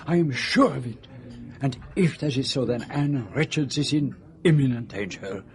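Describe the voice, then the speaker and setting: An actor playing a proud, middle-aged Belgian detective in a radio drama, with a French-speaking Belgian accent and a formal, deliberate manner. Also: male voice, medium pitch, animated, close to an everyday voice. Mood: urgent. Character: precise, proud Belgian detective, brooding then urgent.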